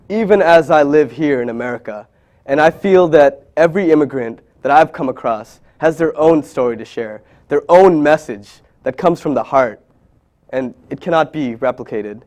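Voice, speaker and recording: A man's voice: fairly high pitch, normal speed, a little mellow. Earnest man reading a documentary narration. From Bangladesh. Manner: earnest, reflective, read from a script. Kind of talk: scripted narration.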